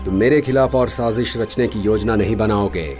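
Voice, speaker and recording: A man's voice: lowish voice, moderate pace, a little mellow, soft background music, close to an everyday voice. A man voicing a vengeful, commanding monster overlord in a dubbed cartoon, imperious and threatening. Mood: menacing. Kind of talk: dubbed cartoon villain acting.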